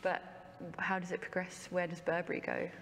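Woman, panel host, low gravelly voice, reverberant studio, faint hum. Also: monotone. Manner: leads with quick questions to guests. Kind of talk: panel discussion.

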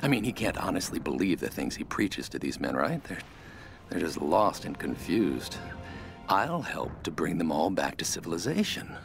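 A scheming, self-important middle-aged TV archaeologist in a video game. His low voice creaks and swings widely as he justifies himself theatrically. Music plays behind him. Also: big pitch swings, moderate pace, full character voice. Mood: excited, greedy. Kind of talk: dramatic video game audio journal.

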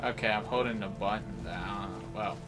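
A man's voice: on the low side, moderate pace. A man giving casual, offhand live commentary on a video game, half talking to himself as he plays. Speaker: gaming video host. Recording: game music behind the voice. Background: video game music.

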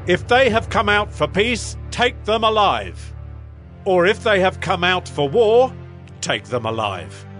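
A man's voice: high-pitched, big pitch swings, a little slow, a bit bright, soft background music, full character voice. An actor playing an arrogant, warlike ancient king with a boastful, commanding manner in an audio Bible drama.

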